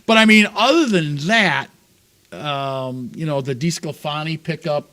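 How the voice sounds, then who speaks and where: Quick-talking sports host. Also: male voice, a little high, bright, forceful tone. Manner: quick, loose, laughs between points. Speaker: sports talk show co-host. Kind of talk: casual sports chat.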